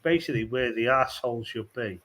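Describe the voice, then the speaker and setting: A man's voice: mid-range voice, brisk, a bit bright. An English man from the north of England, chatting casually on a group video call, often restarting his sentences and swearing freely. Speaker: regular guest.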